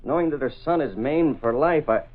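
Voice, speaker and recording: An actor playing a tough but soft-hearted, slangy newspaper reporter in a 1930s radio drama. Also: male voice, on the high side, a little fast, natural voice. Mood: earnest, explaining. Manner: slangy, informal. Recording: muffled band-limited old radio recording.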